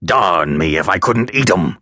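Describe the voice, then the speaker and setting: Growling, menacing convict, deep and forceful. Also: male voice, lively intonation, a little slow, no room sound, studio quality, heavily acted. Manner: growling, barking threats and orders. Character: menacing, ragged escaped convict, rough and ravenous.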